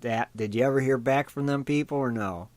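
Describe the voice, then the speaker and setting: A man with an American accent hosting a casual radio show, easygoing and quick to laugh, with folksy phrasing. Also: mid-range voice, a little mellow, no room sound, studio quality. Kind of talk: casual chat. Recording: lo-fi online radio call.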